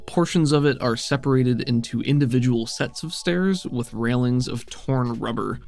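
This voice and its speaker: A man's voice: mid-range voice, creaky, darkish tone. Man narrating as game master over music. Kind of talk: descriptive tabletop game narration.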